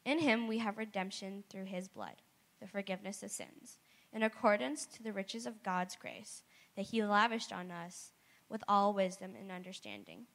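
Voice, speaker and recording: A young woman with a hoarse, raspy voice, reading scripture aloud to a church congregation in a cheerful, soft-spoken way. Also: medium pitch, lively intonation, moderate pace. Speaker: scripture reader.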